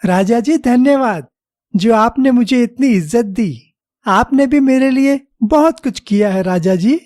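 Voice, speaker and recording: A clever, deferential minister from a cartoon fable, acted by a man. He speaks slowly and politely, with measured care, in a dry recording. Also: very high-pitched, animated, a little mellow, no room sound. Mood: grateful. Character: clever, respectful, loyal male royal minister. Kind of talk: animated children's moral story dialogue.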